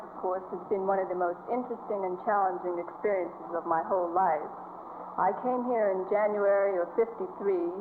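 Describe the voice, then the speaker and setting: A woman from Boston, a secretary, giving formal answers in an interview. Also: mid-range voice. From Boston, United States. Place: office. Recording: tinny, noisy 1950s newsreel sound.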